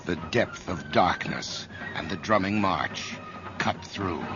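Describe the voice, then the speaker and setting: An actor playing an ominous storyteller, narrating a radio horror tale with deliberate suspense. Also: male voice, low voice. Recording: lo-fi radio recording under music.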